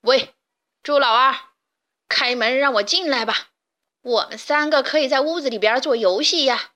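A woman voicing a sly, bullying big bad wolf who calls out loudly to coax and threaten. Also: high-pitched, moderate pace. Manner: loud coaxing then threatening.

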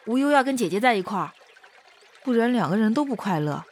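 A woman's voice: a little high, expressive, fast, a little hiss or hum, close to an everyday voice. A woman playing a childlike, naive and devoted girl in a Chinese costume audio drama, artless and pleading, calling herself by name. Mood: earnest, stubborn.

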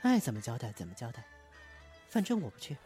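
Brief: male actor as aloof young opera star, stubborn, rapid lively delivery, prominent music behind. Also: mid-range voice. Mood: stubborn, petulant. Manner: curt, petulant. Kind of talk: radio drama acting.

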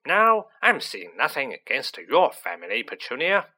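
A man's voice: medium pitch, wildly swooping pitch, a little slow, sharp tone, exaggerated voice. A man voicing a boorish, snobbish middle-aged aunt in a storybook, with a blustering, contemptuous delivery. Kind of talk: storybook character voice.

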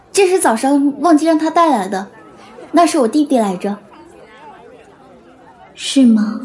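An actress playing a troubled, devoted young student and older sister in an audio drama. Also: female voice, a little high, a little mellow, slightly rough. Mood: distressed. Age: young adult. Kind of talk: Chinese audio drama acting.

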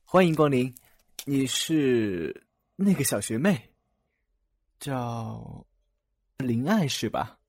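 Casting: actor as mild, kindly male student for radio drama, medium pitch, slightly hesitant, faint background noise. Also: sing-song, slow pace, long pauses, a little mellow. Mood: casual, bashful. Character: kind, easygoing young male student, slightly bashful. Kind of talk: audio drama acting.

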